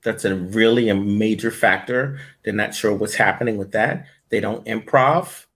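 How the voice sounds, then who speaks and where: Low-voiced man, emphatic interview guest. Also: moderate pace, a bit bright. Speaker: interview guest, voice-over audition coach. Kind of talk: conversational interview answers.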